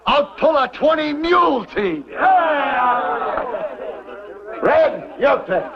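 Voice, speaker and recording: A man's voice: extremely high pitch, expressive, drawn-out words, gravelly, full character voice. An American actor playing a boisterous, commanding mining camp man who bosses his crew jovially in a vintage western. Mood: jovial, bossy. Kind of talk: 1950s-60s western TV acting. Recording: dull old soundtrack, noisy outdoor crowd.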